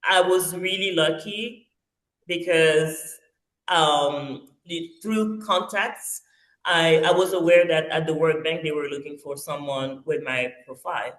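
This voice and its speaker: A woman in her forties, originally from Congo by way of France, talks through her story as a guest. She pauses and restarts a lot, filling gaps with soft ums. Her voice carries a roomy echo. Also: low-pitched. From Congo-Kinshasa, raised in France. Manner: hesitant, many fillers and restarts. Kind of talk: casual interview storytelling. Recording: echoey roomy sound.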